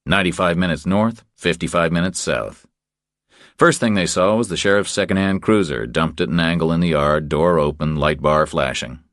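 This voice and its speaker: A man's voice: deep voice, normal speed, fairly bright tone, dry recording, studio quality. A man reading a scripted thriller narration as an audiobook narrator.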